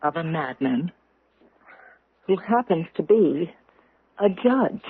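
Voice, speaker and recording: An actress playing a grave, deliberate woman who presses an accusation, in old-time radio drama style. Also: lowish voice, expressive. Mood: grave, probing. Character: grave, accusing woman confronting a killer judge. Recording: roomy, muffled old radio recording.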